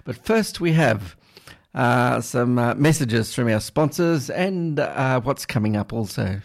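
A man's voice: mid-range voice, animated, slow pace, vocal fry. A man presenting community radio, rambling and hesitant as he introduces things off the cuff. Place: radio studio.

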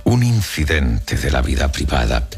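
An actor playing a proud Roman emperor looking back on his youth, reading his memoir in a measured, literary, contemplative way. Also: extremely low pitch, very animated, full character voice. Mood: reflective. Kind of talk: documentary dramatic reading. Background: music.

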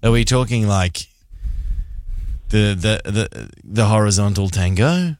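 A man's voice: on the low side, animated, a little slow, a little mellow, no echo, studio quality. A man with an Australian accent co-hosting casual talk radio with cheeky, incredulous banter. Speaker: radio host.

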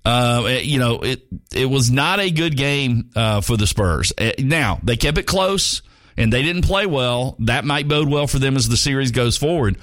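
Animated rambling male sports radio host. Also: mid-range voice, moderate pace, no echo, studio quality. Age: 50s. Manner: rambling, jokey, many restarts. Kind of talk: casual sports talk monologue.

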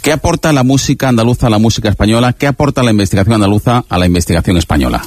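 A man hosting an interview, putting questions to guests in a crisp, formal way. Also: on the low side, lively intonation, racing, a little mellow, no room sound.

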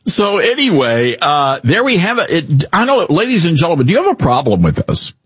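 Husky Southern talk radio host. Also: male voice, on the high side, a little fast, no room sound. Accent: mild Southern, Tennessee. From Tennessee. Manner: folksy, opinionated, lively delivery.

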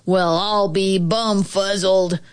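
A woman voicing a big, grouchy old male grizzly bear in a storybook, with a rough, slow growl. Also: mid-range voice, steady tone, lightly acted. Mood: amazed.

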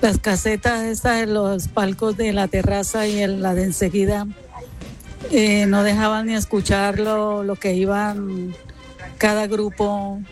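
A man calling a radio show, complaining haltingly and repeating words. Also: sits high, level tone, faint music behind. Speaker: caller.

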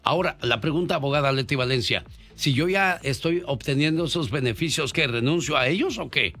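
A man's voice: fairly high pitch, fairly quick, sharp tone, faint music behind. Man hosting a radio show. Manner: jokey, then reads out a phone number twice. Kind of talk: Spanish-language call-in radio talk.

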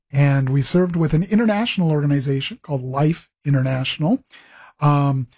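A man's voice: fairly high pitch, darkish tone, breathy edge. A middle-aged man from the Pacific Northwest telling his life story in an interview, with frequent ums and restarts. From Pacific Northwest, USA. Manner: rambling with many fillers and restarts. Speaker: guest, ministry executive director.

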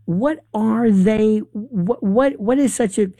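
A man hosts a casual talk, his voice mellow and warm. He is animated and halting, starting sentences over and filling gaps with "uh". The sound is dry and close. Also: high voice, no echo. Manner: animated, halting, many restarts and fillers. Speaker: host. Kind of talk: casual conversation.

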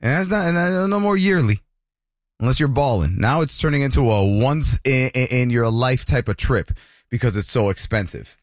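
A man hosting a morning radio show, chatting with a caller and reading ads in a lively, chatty way. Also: medium pitch, normal speed, no echo, studio quality. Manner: lively, chatty, laughs easily. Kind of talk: radio show talk and ad reads. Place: radio studio.

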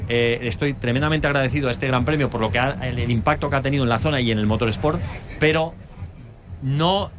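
A man's voice: mid-range voice, fairly quick. Man, light Catalan accent, hesitant, restarts with fillers, faint noise behind. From Barcelona. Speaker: guest. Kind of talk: casual chat.

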